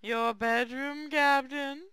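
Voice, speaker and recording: A woman's voice: high voice, fairly even intonation, takes it slowly, a crowd in the background. A woman voicing a flirtatious, suggestive talking spaceship elevator in a visual novel.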